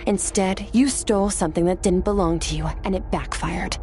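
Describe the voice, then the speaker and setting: A woman's voice: medium pitch, fairly even intonation, moderate pace, mellow, a little hiss or hum, close to an everyday voice. An actress playing a cool, disciplined young woman warrior in a video game, speaking in a blunt, composed, admonishing way. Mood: stern, composed. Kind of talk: dramatic video game voice acting.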